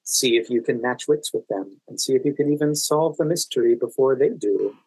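A man's voice: mid-range voice, a little fast, a little mellow, breathy edge, no echo, low-quality recording. A man with an American accent talking conversationally as a guest in an interview. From United States. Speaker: podcast guest, actor and event manager.

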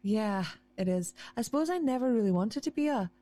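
A woman's voice: fairly high pitch, animated, brisk, mellow. A woman harpist, chatting casually and self-deprecatingly as a guest in an interview, often restarting her sentences.

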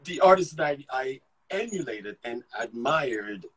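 Husky-voiced man telling his story haltingly. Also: mid-range voice, lively intonation, rough recording. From Ghana. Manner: halting, restarts and repeats words while thinking aloud. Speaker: actor, interview guest. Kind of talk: casual conversational storytelling.

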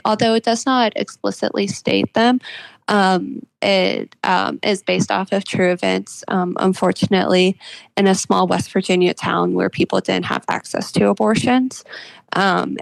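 Woman guest author in an interview, earnest and measured, vocal fry, close dry sound. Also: mid-range voice, dry recording, rough recording. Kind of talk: reflective interview conversation.

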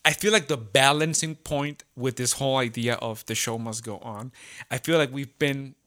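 A man in a casual, reflective discussion, speaking haltingly and repeating words as he works out his thoughts. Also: medium pitch, steady tone, sharp tone. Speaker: theatre company podcast speaker.

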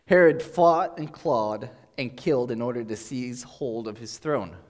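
A pastor giving a relaxed, conversational sermon, explaining as he goes with thinking-aloud pauses, recorded in a church sanctuary. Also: mid-range voice. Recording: long hall reverb.

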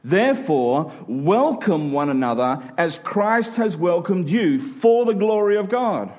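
A man's voice: sits high, big pitch swings, a little slow, a little mellow, highs cut off. A man preaching a sermon to a congregation in an emphatic style, using rhetorical questions and repetition.

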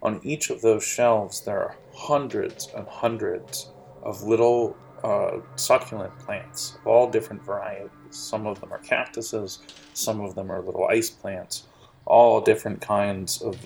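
A man narrating as game master of a tabletop role-playing game, describing scenes in a halting, lively way. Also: lowish voice, creaky, soft background music.

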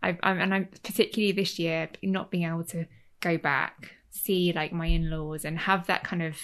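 A woman's voice: on the low side, little pitch movement, normal speed, fairly bright tone. A woman, chatting casually as a guest and rambling with fillers and restarts. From Leeds, Yorkshire, England. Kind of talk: casual conversation. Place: home in Cornwall.

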